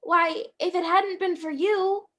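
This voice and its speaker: A very high-pitched, bumbling guardian angel played by a woman in a radio play. The delivery is earnest and chatty, with a kindly eagerness to help. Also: fairly even intonation, a little slow, bright, forceful tone, exaggerated voice. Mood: gently persuasive. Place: at home on a video call.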